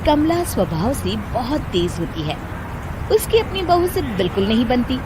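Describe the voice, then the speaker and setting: A woman narrating a scripted animated moral story. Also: a little high, moderate pace, background noise.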